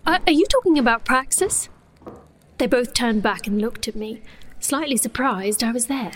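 A woman's voice: a little high, animated, normal speed, a little hiss or hum, lightly acted. An actress playing a sheltered, proud young sorceress who tells her own story in an earnest, intimate way in a fantasy audio drama. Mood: polite, curious. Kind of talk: first-person fantasy audio drama narration and dialogue.

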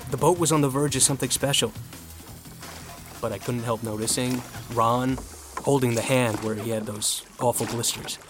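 A man playing an earnest young American college rower in an audio drama, full of self-doubt. His voice sits at a middling pitch, with music clearly audible behind it. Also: natural voice. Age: young adult. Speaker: narrator and lead character. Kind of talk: scripted audio drama, first-person narration and dialogue.

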